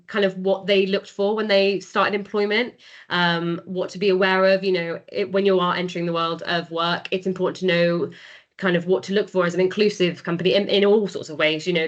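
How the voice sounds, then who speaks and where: A woman's voice: fairly low pitch, a bit bright. A woman presenting a charity's services in an informal, explanatory way, speaking conversationally and hesitantly with frequent ums. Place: online video meeting recording. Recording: muffled online meeting audio.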